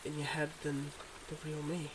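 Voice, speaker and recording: Guy acting as solemn devoted mob boss boyfriend, asmr roleplay, slightly high voice, earnest n halting, bit of hiss behind. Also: monotone, lightly acted. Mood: solemn, regretful. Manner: earnest, measured, halting confessions.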